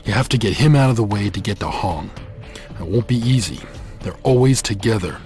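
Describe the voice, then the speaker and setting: An actor playing a battered, talkative captive agent in a video game, shaken and rambling with exclamations. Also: male voice, on the low side, animated, fairly quick, a little mellow, faint music behind, natural voice. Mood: anxious, grateful.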